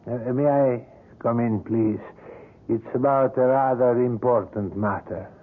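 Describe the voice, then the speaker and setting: A man's voice: medium pitch, close to an everyday voice. A sinister, overly polite sculptor, voiced by a man, who speaks formally and hesitates often. He uses a mid-range voice. The sound is tinny and thin, like an old radio broadcast. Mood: persuasive. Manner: halting, formal speech with many hesitations. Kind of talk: 1970s radio drama acting.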